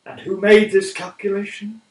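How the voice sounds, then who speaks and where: A courteous, wry British statesman in a radio play, played by a man, speaking in a fairly high voice. His manner stays polished and drily teasing, and the sound is distant and roomy. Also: flat delivery, normal speed, lightly acted. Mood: polite, wry.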